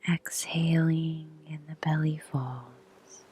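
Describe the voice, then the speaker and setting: A woman guiding a meditation in a soft whisper, with a slow, soothing delivery and long pauses. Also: sits low, steady tone, a little hiss or hum. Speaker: meditation guide. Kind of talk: guided meditation.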